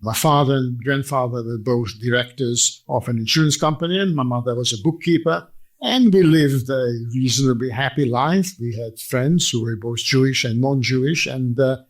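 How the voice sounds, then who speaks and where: A man in his eighties with a Hungarian accent tells his personal story as an interview guest, speaking in a measured, formal and articulate way. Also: mid-range voice. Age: 80s. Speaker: interview guest, survivor giving testimony.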